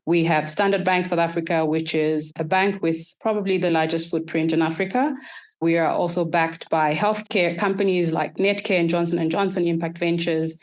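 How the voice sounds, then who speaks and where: A woman from Zimbabwe, answering podcast interview questions in a measured, structured way. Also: lowish voice, fairly even intonation. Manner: measured, structured, explains in lists. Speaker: guest, startup accelerator executive.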